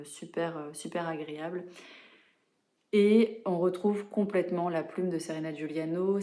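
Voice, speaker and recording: A young woman chatting casually about books she has read, with many fillers and quick self-corrections. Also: low-pitched, dark tone. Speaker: book vlogger. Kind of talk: casual book review chat.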